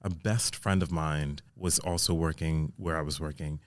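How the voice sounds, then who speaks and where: Man from maryland, podcast guest, very deep voice, dry close sound, upbeat. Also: fairly even intonation, moderate pace, no echo. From Maryland, United States. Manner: upbeat, enthusiastic, lots of yeahs. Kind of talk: casual podcast chat.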